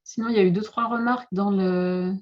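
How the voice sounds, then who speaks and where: A woman's voice: mid-range voice, steady tone, brisk. Woman speaker on a lo-fi video call. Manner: thoughtful, hesitant, many restarts. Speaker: guest speaker. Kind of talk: webinar Q&A answers. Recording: dull lo-fi call audio.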